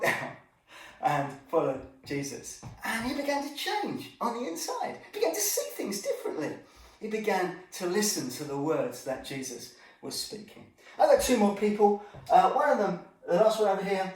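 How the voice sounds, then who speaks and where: A man's voice comes from a little way off in a very echoey room. He tells a Bible story to children as an informal lesson. His delivery is animated, with exclamations and chatty asides aimed at the young listeners. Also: high-pitched. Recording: echoey room, distant microphone.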